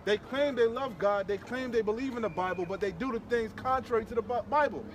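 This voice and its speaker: A high-voiced man delivers fiery, informal preaching on scripture. He is emphatic and slangy, often repeating himself for force, with traffic noise around him outdoors. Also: brisk. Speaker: street preacher leading a scripture discussion.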